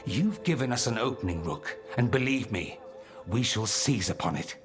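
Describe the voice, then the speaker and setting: An actor playing a suave, ambitious mage and rebel schemer in a fantasy video game, speaking with wry, theatrical eloquence. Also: male voice, on the low side, expressive, moderate pace, music in the background, close to an everyday voice. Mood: resolute. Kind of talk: dramatic video game dialogue acting.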